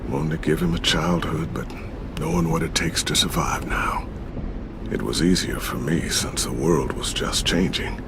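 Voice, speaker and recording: An actor playing a gentle fatherly mentor in a game, with an American accent, tender, reassuring and gravelly. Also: extremely low pitch. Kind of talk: game voice acting, intimate dramatic dialogue. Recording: game audio with clear ambient noise.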